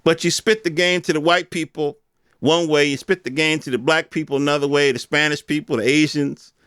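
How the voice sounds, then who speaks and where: Clear-voiced man on a casual rant. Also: a little high, steady tone, fairly quick, fairly bright tone, dry recording, clean studio sound. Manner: informal street slang with swearing; rhetorical questions addressed to listeners. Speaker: podcast host. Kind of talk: casual unscripted opinion rant.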